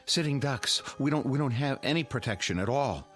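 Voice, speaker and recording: A man's voice: mid-range voice, expressive, fairly quick, fairly bright tone, soft background music, natural voice. An actor playing a desperate suburban father in a radio drama, pleading and stammering.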